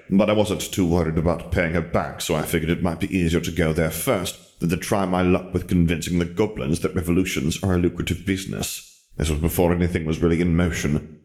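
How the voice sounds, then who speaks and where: Dark wizard revolutionary, acted by a male reader, low pitch, dry humor, haughty, flat, long-winded, audiobook character. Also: natural voice.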